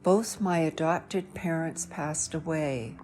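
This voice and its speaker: A woman reading a first-person audiobook in a measured, reflective way. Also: deep voice, a little mellow, soft background music. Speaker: audiobook narrator.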